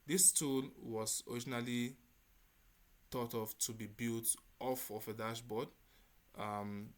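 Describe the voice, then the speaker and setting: A man's voice: medium pitch, steady tone, slow pace. A man giving a spoken demo presentation as a developer showing his project.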